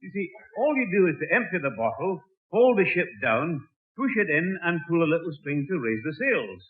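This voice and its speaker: Blustering old British grandfather, swooping pitch. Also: very animated, fairly quick. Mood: boastful. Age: older. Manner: fussy, scolding, blustering. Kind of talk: 1960s radio sitcom acting. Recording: tinny old radio broadcast recording.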